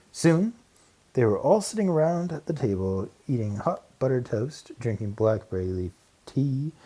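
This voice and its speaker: Male narrator, very slow pace, medium pitch, children's read-aloud, deliberate dramatic phrasing. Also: mellow, breathy edge. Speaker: audiobook narrator.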